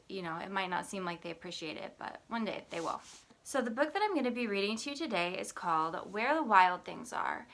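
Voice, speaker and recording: A woman who hosts read-alouds for children, talking and narrating a storybook in an expressive, deliberate way. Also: medium pitch. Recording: roomy echo with music behind.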